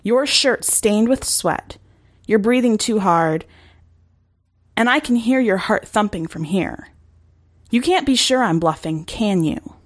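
A woman's voice: mid-range voice, lively intonation, slow pace, no echo, studio quality, pitched above the performer's own voice. A small wolf girl, defiant but full of guilt, played by a woman narrator in a story reading. Her voice is lifted and earnest, strained with urgency. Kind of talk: dramatic short story reading.

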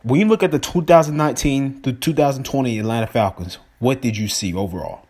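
A man's voice: medium pitch, fast, darkish tone, breathy edge. An upbeat man hosts a casual podcast interview. He greets his guest in a friendly way and moves on to his questions. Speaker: podcast host.

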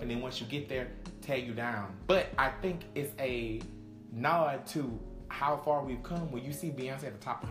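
A man's voice: mid-range voice, reverberant. A man with a Texan accent co-hosting a casual podcast chat, talking in an emphatic, opinionated way. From Texas. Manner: emphatic, drawn-out, opinionated delivery. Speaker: podcast co-host.

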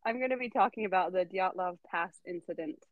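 A woman's voice: fairly low pitch, fairly quick, no room sound, narrow, tinny audio. A woman hosting a podcast, telling a story in casual chat, giggling often and full of fillers. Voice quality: giggly, chatty, filler-heavy. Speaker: podcast host.

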